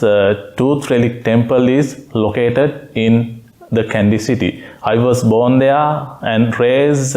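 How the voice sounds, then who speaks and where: A Buddhist monk from Sri Lanka giving a Dhamma talk, speaking in simple phrases and pausing often with "uh" between words. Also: male voice, medium pitch, darkish tone. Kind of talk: slow, halting Dhamma talk with many fillers. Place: meditation center room.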